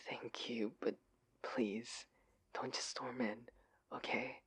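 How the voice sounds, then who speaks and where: A man playing an anxious, adorkable husband in an intimate audio roleplay, speaking in a breathy, pleading manner. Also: fairly low pitch, normal speed, darkish tone. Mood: urgent pleading. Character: adorkable, anxious, oblivious husband, affectionate and flustered.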